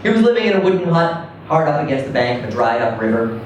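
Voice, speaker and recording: A man performs a first-person story in a very echoey space, heard at some distance from the microphone. His delivery is conversational and theatrical, with lively swings in pitch and deliberate pauses. Faint noise sits under the voice. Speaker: reader performing a short story. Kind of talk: dramatic first-person story reading to a live audience. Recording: roomy, echoing, distant mic with faint noise.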